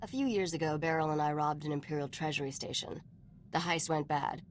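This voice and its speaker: Game casting: actress as tough smuggler woman, low register, blunt and unapologetic, faint noise behind. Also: lightly acted. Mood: defensive, blunt. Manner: blunt, brisk, matter-of-fact. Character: hard-bitten, self-serving woman smuggler partner, unapologetic. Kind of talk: video game voice acting.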